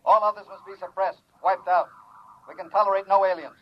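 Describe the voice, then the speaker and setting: A man's voice: high-pitched, steady tone, a little fast. A man playing a domineering, officious colonial inspector in a vintage radio drama, speaking in curt commands. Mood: menacing, officious. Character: domineering, militaristic colonial inspector from imperial Earth. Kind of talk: 1950s radio acting. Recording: muffled old radio broadcast.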